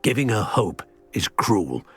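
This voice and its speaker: An actor playing a stern, weary older wizard guardian in a video game, with a gruff, scolding, emphatic manner. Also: male voice, fairly low pitch, sing-song, a little slow, a bit bright, exaggerated voice. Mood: weary, resigned. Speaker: game character. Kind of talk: dramatic video game acting.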